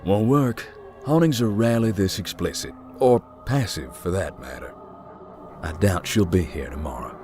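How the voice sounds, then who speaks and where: A calm male ghost hunter speaks in a low, deep voice with lively, shifting intonation. He stays dry and matter-of-fact, never rattled. Soft game music plays under him. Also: moderate pace. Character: calm young man who hunts ghosts. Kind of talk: visual novel voice acting.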